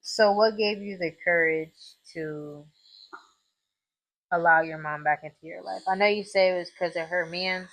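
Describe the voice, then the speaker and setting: A woman's voice: lowish voice, normal speed, fairly bright tone, low-quality recording. A woman hosting a casual interview chat in a tattoo studio, blunt and teasing with slangy talk. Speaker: podcast host, tattoo artist.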